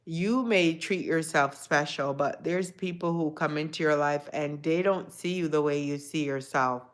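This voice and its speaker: A woman's voice: deep voice, moderate pace. A woman of Jamaican descent hosting a casual livestream, talking reflectively to her viewers and greeting them by name. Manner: reflective, talks to chat viewers by name, repeats phrases. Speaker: livestream host. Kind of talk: casual live chat with viewers.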